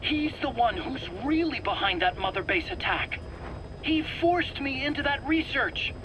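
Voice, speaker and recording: Anxious male scientist, high-voiced, hesitant, self-justifying, video game interrogation, clear background noise. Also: expressive, unhurried. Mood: frightened, pleading. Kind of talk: dramatic video game voice acting.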